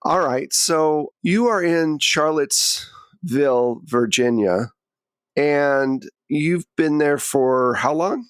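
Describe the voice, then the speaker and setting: A man's voice: on the high side, slow, clean tone, no echo. A man hosting and narrating a tabletop game in a conversational style as game master.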